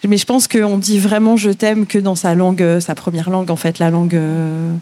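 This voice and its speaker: A woman from France talking casually as a guest, halting as she thinks aloud. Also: fairly low pitch, flat delivery, warm tone. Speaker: guest musician. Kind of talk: casual discussion.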